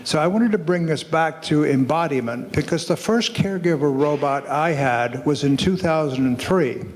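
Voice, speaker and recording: A man's voice: on the high side, moderate pace. An older man on a panel, sharing anecdotes in a conversational way and often correcting himself. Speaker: panelist, older adult robot tester. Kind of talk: panel discussion. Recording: hall reverb on the voice.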